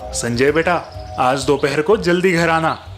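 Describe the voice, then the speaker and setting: Guy putting on a crafty village uncle, teasing n upbeat, highish voice, cartoon story. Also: unhurried, a bit bright, faint music behind. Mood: coaxing. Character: sly, cheerful village uncle teaching a lesson.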